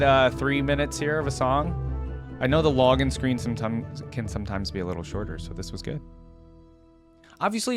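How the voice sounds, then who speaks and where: A man hosting a reaction video with casual, informal commentary, thinking aloud as he goes. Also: medium pitch.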